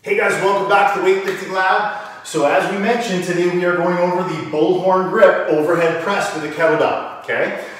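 Male fitness coach, higher-pitched voice, informal step-by-step demo, recorded far from the mic in a home gym. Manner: informal, step-by-step explaining while lifting a kettlebell. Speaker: fitness coach and video host. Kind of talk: exercise demonstration, instructional. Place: indoor home gym with hard walls. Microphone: camera several meters away. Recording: very echoey room, distant mic.

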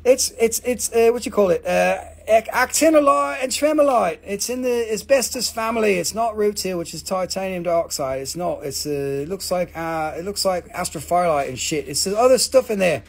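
A man hosting a live rock sale, joking, swearing and rambling in fast auction patter. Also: high voice, vocal fry. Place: mineral shop indoors.

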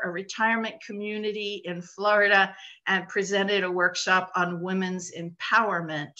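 A woman giving an earnest, measured conference presentation over a video call. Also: mid-range voice, pushed and bright, lo-fi sound.

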